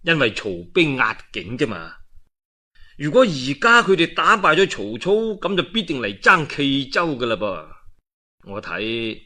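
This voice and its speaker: A man voicing a cold, shrewd counsellor to a warlord in a historical storytelling, hushed and deliberate, with a Cantonese accent. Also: mid-range voice, very animated, full character voice. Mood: cold, plotting.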